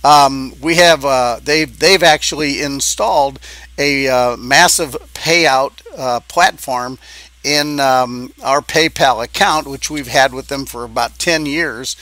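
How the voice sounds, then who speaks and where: A man giving an informal sales pitch as a business coach, talking in a halting, conversational way and checking in with the listener. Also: bright, forceful tone, dry recording.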